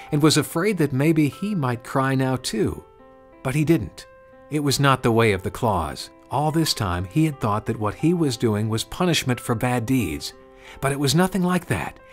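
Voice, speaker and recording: A man reading a scripted children's storybook narration. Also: medium pitch. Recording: music behind the voice. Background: background music.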